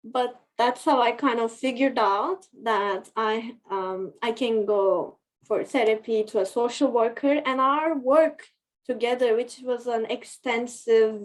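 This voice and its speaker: A woman with a somewhat high voice talks through her story in an interview. She speaks thoughtfully, pausing and restarting often with small fillers. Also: poor audio. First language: Turkish. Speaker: guest, scientist training as psychotherapist. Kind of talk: reflective interview talk.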